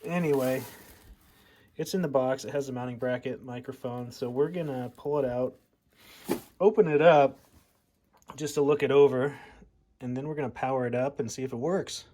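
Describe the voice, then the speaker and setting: An American man giving a casual, hands-on demonstration as a hobbyist, recorded at home with a furnace running. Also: mid-range voice. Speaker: hobbyist presenter of a radio overview. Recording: loud furnace noise behind.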